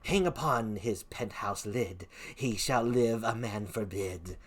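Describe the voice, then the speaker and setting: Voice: mid-range voice, slow pace, breathy edge. A reader voicing the weird sister witches, chanting spells with sing-song swings and sudden whispers. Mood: eerie chanting.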